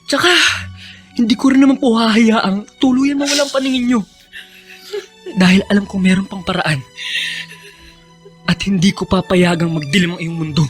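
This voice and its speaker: An actor playing a devoted, determined young son in a Filipino radio drama, speaking earnestly and respectfully. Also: male voice, high voice, steady tone, moderate pace, long pauses, soft background music, lightly acted. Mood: distressed, pleading. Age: young adult.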